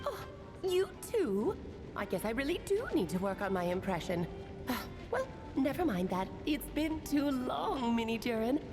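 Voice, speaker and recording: A theatrical mage-storyteller, teasing and grand, with a fairly high voice that swoops through its range. Every phrase lands like the opening of a fairy tale. Game music plays clearly underneath. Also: female voice, big pitch swings, normal speed. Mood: playful. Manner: dramatic, storybook flourishes, teasing. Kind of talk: video game dialogue.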